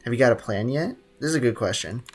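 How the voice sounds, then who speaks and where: A man's voice: mid-range voice, expressive, fast talker, faint music behind. Rambling, joking man streaming a game. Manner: rambling, joking, talks to chat. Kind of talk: casual gameplay commentary.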